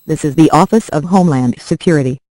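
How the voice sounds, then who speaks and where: Male emergency broadcast announcer, slightly high mellow voice, formal official delivery. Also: quick pace, no echo, low-quality recording.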